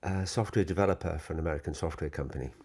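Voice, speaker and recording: A man's voice: very deep voice, racing, a little mellow, breathy edge. A middle-aged man with a Welsh Valleys accent, a guest speaking plainly and matter-of-factly in a family interview. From South Wales Valleys. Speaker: guest, software developer.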